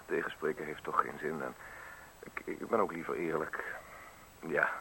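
An actor playing a sly, falsely modest male visitor in a radio play, speaking in deliberate, ornate, theatrical phrases with pauses. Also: rumbling low, steady tone, gravelly, bright, forceful tone, full character voice, rough recording. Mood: smoothly flattering. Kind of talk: Dutch radio play acting. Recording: hissy radio drama recording.

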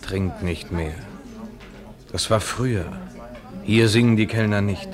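An actor playing a cool, impatient young gentleman in a radio play, with a curt, controlled, ironic manner. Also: lowish voice, slow, a crowd in the background.

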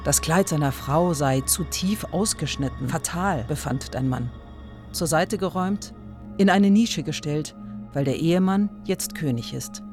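A man narrating a literary radio play in measured, clipped poetic phrases, as if addressing its subject directly. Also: fairly high pitch, a little mellow, faint music behind.

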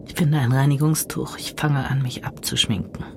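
An actress playing a woman narrating a dark, trancelike inner monologue in hushed, breathy, deliberate short phrases. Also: extremely low pitch, fairly even intonation, background noise. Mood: hushed, eerie. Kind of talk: intimate audio drama monologue.

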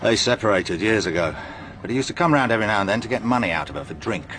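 Actor as low-voiced, businesslike English chief inspector. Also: male voice, lively intonation, rapid-fire, natural voice. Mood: matter-of-fact. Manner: businesslike, dry, reporting facts. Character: matter-of-fact middle-aged British police chief inspector. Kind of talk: radio drama acting.

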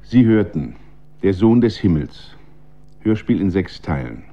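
A man's voice: sits low, big pitch swings, unhurried, warm tone, dull audio. A man reading a scripted announcement as a radio drama announcer.

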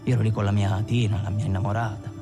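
A man acts out an Italian gangster of the postwar years in a film monologue. He tells of his violent life in a conversational way, tossing in little tags to the listener. Music plays clearly behind him. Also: fairly low pitch, flat delivery, fast talker, natural voice. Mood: gritty recollection. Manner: conversational storytelling with rhetorical tags. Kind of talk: dramatic first-person monologue in a film.

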